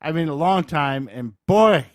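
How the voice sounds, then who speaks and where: A man's voice: on the high side, expressive, unhurried. A man co-hosting a podcast, talking sports in an informal, opinionated, conversational way. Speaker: podcast co-host. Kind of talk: casual sports chat.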